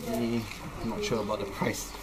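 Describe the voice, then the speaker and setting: Young guy in a little shop, explains stuff slowly, trips over his words, loud chatter all around, picked up by a nearby camera. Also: mid-range voice, flat delivery, low-quality recording. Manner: halting, searches for words with restarts. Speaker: shop helper explaining local products. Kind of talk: casual explanation to visitors. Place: small indoor shop.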